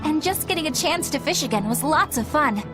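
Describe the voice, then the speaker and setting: A woman's voice: high-pitched, animated, fairly quick, over music. An actress playing a good-natured, polite young woman in a video game, speaking in an upbeat manner. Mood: cheerful. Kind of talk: English video game voice acting.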